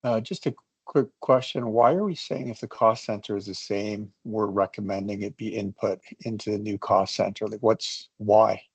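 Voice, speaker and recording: A man's voice: fairly low pitch, steady tone, moderate pace, hardly any pauses, husky, dry recording. A man attending an online session, casually asking questions of the presenter.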